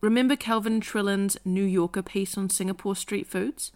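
A woman reading the lines of a charming, eager young man in an audiobook-style reading, with a lively, coaxing delivery. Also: medium pitch, normal speed, natural voice. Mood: enthusiastic.

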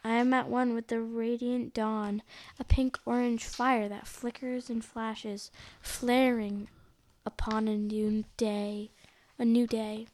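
A woman hosting a read-along, reading a picture book aloud in a halting way, repeating and stumbling over some words. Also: fairly high pitch, level tone, a little mellow.

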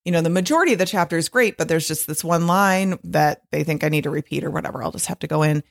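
A middle-aged woman hosting her podcast alone, her voice a little husky, talking in a chatty, rambling way with frequent laughs. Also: low-pitched, fast. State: slightly husky from long recording. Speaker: podcast host and author. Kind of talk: casual solo podcast monologue.

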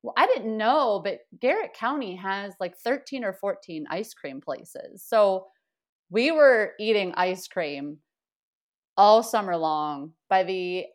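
A woman's voice: mid-range voice, animated, slow pace. A woman in her thirties chatting casually on a local podcast, talking freely and laughing easily. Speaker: podcast guest. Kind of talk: casual chat.

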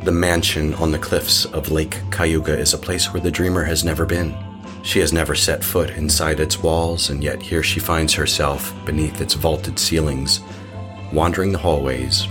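Man, acting, bottomless bass, ominous storyteller, measured, solemn, horror narration, music underneath. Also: flat delivery. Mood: solemn, eerie.

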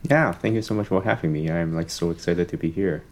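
A young man from Hong Kong, a psychology professor, speaking as a podcast guest and telling a long story in a relaxed way with many "um"s and "you know"s. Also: low-pitched, fairly quick. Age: young adult. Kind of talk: casual podcast conversation.

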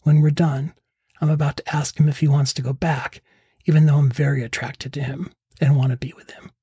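A middle-aged man with a husky voice narrating a scripted first-person memoir as a podcast host. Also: a little high, flat delivery, brisk, warm tone, dry recording. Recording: close dry voice over faint music.

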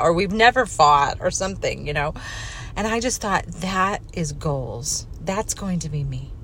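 Low-voiced woman giving a candid talk. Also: a bit bright, a little hiss or hum. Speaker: speaker giving a personal talk on faith and family life. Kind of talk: candid personal talk, conversational monologue.

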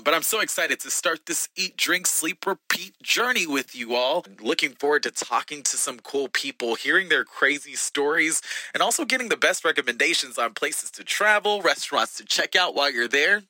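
A man's voice: on the high side, sing-song, moderate pace, pushed and bright. An American man co-hosting a podcast in an upbeat presenting style. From Atlanta, Georgia, USA. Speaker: podcast co-host.

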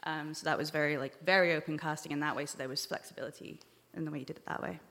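A woman with a somewhat low voice talks in a loose, casual way on a live panel. The recording has the long reverb of a hall. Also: steady tone, quick pace, vocal fry, a bit bright.

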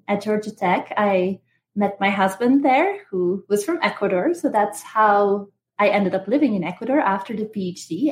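A woman in her thirties from Belgium, explaining things as an interview guest and professor. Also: mid-range voice. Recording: roomy, echoing sound.

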